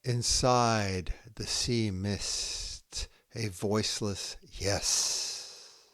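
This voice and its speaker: A man reading short poems aloud in a measured way, setting each brief phrase apart with pauses. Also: on the low side, steady tone, a bit bright, no room sound, studio quality. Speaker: podcast host reading poetry aloud. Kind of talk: poetry reading, haiku recited line by line.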